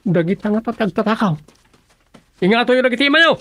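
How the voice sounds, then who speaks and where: A man acting a scheming, excitable chicken thief with a husky voice in a radio comedy. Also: extremely high pitch, a little fast, exaggerated voice. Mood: conspiratorial.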